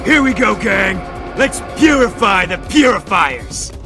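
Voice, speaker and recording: High-pitched, brash young gang courier. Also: male voice, expressive, unhurried, creaky, over music, heavily acted. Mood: brash, excited. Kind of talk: video game cutscene voice acting.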